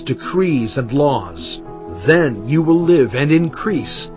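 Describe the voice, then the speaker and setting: An actor playing an aged prophet and leader, solemn and fervent, addressing his people in a dramatized scripture reading. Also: medium pitch. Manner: solemn, emphatic oratory. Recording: muffled audio with music underneath.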